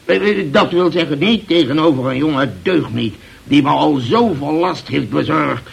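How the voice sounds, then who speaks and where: An actor in an old radio drama playing a grumbling, blustering older boss, gruff but fair, who hesitates and interrupts. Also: male voice, fairly high pitch, normal speed, heavily acted. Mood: grudging, blustering. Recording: old radio play recording.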